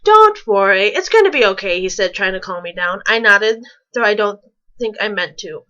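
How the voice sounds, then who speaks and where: A woman's voice: medium pitch, lively intonation, normal speed, sharp tone, muffled recording. A woman reading fan fiction aloud with sarcastic, incredulous casual commentary, stumbling over the text now and then.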